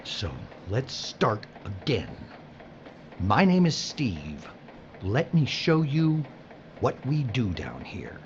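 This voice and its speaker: A man's voice: medium pitch, huge pitch swings, slow, lo-fi sound, slight background noise. A man playing a hardened, world-weary labourer in an audio drama, with a blunt, sardonic manner.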